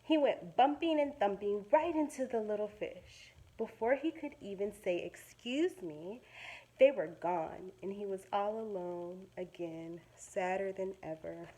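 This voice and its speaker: Slow-paced woman reading a storybook to children. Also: mid-range voice, rough recording. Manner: expressive, dramatic, stops to explain words simply. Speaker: second-grade teacher reading a storybook aloud. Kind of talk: storytime read-aloud with asides to children.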